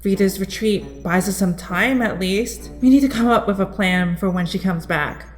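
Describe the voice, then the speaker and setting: A woman's voice: medium pitch, normal speed, soft background music, close to an everyday voice. An actress playing a level-headed female member of a superhero team in an audio drama. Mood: measured.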